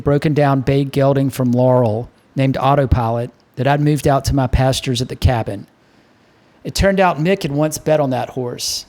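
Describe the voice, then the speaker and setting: A man's voice: medium pitch, a little mellow. A man narrating a first-person novel as an audiobook reader.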